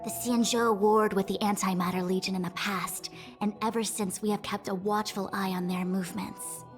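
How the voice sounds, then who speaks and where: A woman's voice: mid-range voice, steady tone, faint music behind, natural voice. Stately, formal woman as a young female acting general. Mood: grave, analytical. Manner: formal, eloquent, measured, stately. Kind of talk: video game character dialogue.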